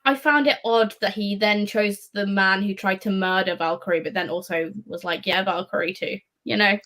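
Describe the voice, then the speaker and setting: A woman's voice: mid-range voice, fairly even intonation, normal speed, fairly bright tone. Wry, sweary woman chatting about books. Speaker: book readalong panellist. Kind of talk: casual book discussion, live.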